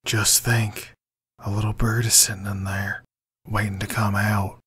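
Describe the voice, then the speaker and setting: A man playing an earnest, remorseful young man with a gentle, sincere manner in an amateur audio drama. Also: fairly low pitch, level tone, unhurried, husky, exaggerated voice. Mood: gentle, coaxing. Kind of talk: fan audio drama dialogue.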